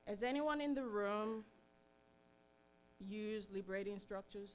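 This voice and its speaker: A middle-aged woman presents informally to a live room. Her pitch is average, and she comes across as chatty and a little self-deprecating. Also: unhurried, low-quality recording. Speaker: meetup presenter. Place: meetup room, live in front of an audience.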